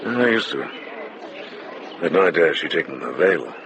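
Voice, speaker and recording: An actor playing a wise, kindly, seasoned medieval monk in a radio drama, unhurried and gently wry. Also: male voice, extremely low pitch, very animated, muffled recording, crowd noise. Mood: guarded, fond. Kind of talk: British radio drama acting.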